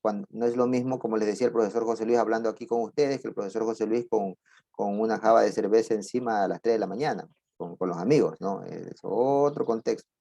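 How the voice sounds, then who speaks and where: Middle-aged professor, mellow dark voice, digressive classroom chat. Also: male voice, lowish voice, fast talker, creaky, dry recording. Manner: digressive, chatty, with asides and laughs. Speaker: university lecturer. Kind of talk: conversational online lecture.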